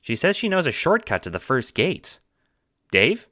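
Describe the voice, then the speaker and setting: A man's voice: fairly high pitch, huge pitch swings, measured pace, over music, pitched above the performer's own voice. A man voicing a friendly, naive young teenage boy with an earnest, chipper manner.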